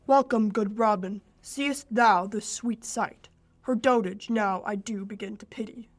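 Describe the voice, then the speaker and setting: A young woman playing a proud fairy king, reciting Shakespearean verse in a measured, declamatory way for a radio play. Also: mid-range voice, no room sound, close to an everyday voice. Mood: pitying, recounting. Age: teens or young adult.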